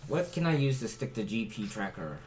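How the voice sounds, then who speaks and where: A man playing a tabletop game, planning his moves with halting fillers. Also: lowish voice, very animated. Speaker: player. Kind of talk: casual chat at a game table.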